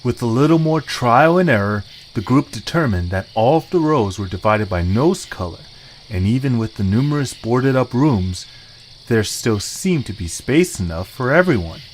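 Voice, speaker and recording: A man narrates a scripted audio story. The voice is mid-pitched with lively, wide intonation. Faint night insects play behind the narration. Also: moderate pace, a little hiss or hum, poor audio.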